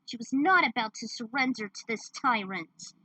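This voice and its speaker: A woman's voice: fairly high pitch, lively intonation, moderate pace, pushed and bright, no echo, poor audio. A woman narrating action fan fiction aloud as a dramatic story reading.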